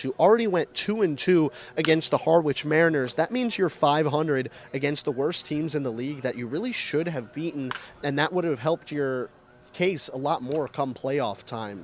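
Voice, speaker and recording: A man giving conversational analysis as a co-host on a sports radio pregame show. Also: on the high side.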